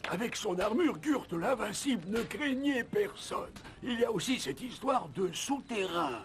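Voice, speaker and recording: A man's voice: sits high, lively intonation, a little slow, slight background noise. A man voicing a talkative, knowing old villager telling legends in a French cartoon dub. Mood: knowing, storytelling. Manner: storytelling, knowing.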